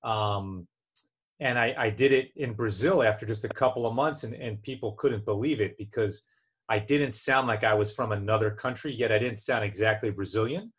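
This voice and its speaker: Husky joking man in casual chat. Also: on the low side, moderate pace. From New Jersey. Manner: joking, self-deprecating, many restarts.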